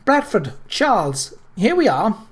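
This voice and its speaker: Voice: medium pitch, sing-song, a little slow, voice pushed up. A narrator voicing a gushing, playful young upper-class lady in a storybook. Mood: excited calling. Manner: effusive, playful, calling out.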